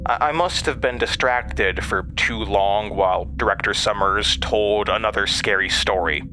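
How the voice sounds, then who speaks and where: A man's voice: fairly low pitch, a little slow, background noise, natural voice. An actor playing a haunted, anxious man who narrates his past hesitantly in a horror audio drama. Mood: brooding, watchful.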